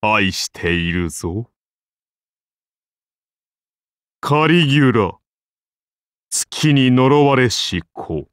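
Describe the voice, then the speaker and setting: Majestic, slow-spoken Roman god-king. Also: mid-range voice, expressive, clear, resonant tone, no room sound, studio quality. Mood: solemn, loving. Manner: grand, solemn, archaic declamation. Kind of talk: anime game character voice lines.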